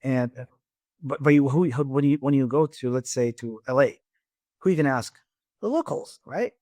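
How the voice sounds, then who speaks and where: A middle-aged man with an Iraqi Arabic accent, a guest on a podcast interview, talking haltingly with many restarts and repeated words. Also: medium pitch, expressive, mellow, dry recording. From Iraq. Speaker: guest, engineering firm president.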